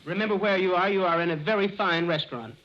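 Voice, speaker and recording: An actor playing a prim, exasperated teacher of manners, pompous and pleading in 1940s radio comedy. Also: male voice, high voice, fast, crowd noise, lightly acted. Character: prim, exasperated, flowery-spoken tutor of manners. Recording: muffled old radio recording, studio audience.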